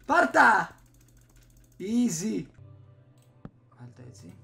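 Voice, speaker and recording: A young man from Greece hosts a gaming video with casual, muttered commentary. He talks to himself as he plays, recorded on a desktop microphone at home. Music plays clearly behind his voice, along with some keyboard typing. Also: high voice, very animated, pushed and bright. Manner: muttering to himself while playing. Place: small room at home. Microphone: desktop USB microphone.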